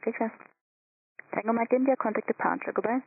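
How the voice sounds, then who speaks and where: A woman's voice: a little high, brisk, gravelly. A woman working as an airport tower controller, giving clipped, procedural instructions over the radio. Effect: radio transmission. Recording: tinny narrowband radio, faint static.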